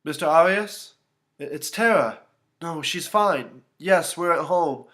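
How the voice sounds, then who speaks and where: A man's voice: fairly high pitch, slow, fairly bright tone, voice pushed up. A man voicing a young female babysitter in a narrated story, protective but flustered and panicky. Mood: nervous, reassuring.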